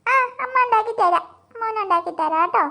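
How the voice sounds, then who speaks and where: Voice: very high-pitched, fairly quick, vocal fry, bright, forceful tone, exaggerated voice. A performer voicing a flustered mother in a comic family cartoon, soothing and placating her children. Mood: coaxing, placating.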